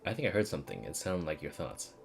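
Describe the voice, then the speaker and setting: A woman's voice: rumbling low, big pitch swings, fast, gravelly, faint music behind. A woman game streamer chatting casually and reading out dialogue during a playthrough.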